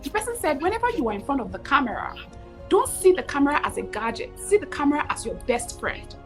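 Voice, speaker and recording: A woman in her thirties with a Nigerian accent, telling her story as a guest over a video call, expressive and repeating phrases for emphasis. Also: high-pitched, fast, soft background music. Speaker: guest, cooking show host. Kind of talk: animated storytelling interview. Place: home room with curtains, video call.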